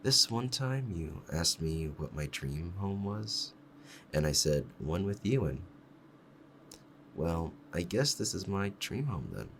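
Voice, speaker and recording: A man playing a doting, possessive fox-spirit husband in an intimate ASMR roleplay, talking softly in a rambling, hesitant, lovestruck way. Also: low voice, sing-song, slow pace, slight background noise, exaggerated voice. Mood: nostalgic, teasing.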